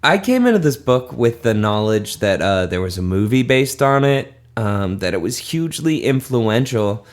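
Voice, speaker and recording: A man's voice: medium pitch, sing-song, normal speed, hardly any pauses. A man hosting a casual late-night podcast, tipsy, rambling with lots of fillers and frequent laughs. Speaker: podcast host.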